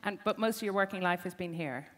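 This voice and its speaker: A woman interviewing a guest, brisk and pressing for short, direct answers. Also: fairly low pitch. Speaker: interviewer, health policy analyst. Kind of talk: interviewing, quick-fire questions. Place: conference hall.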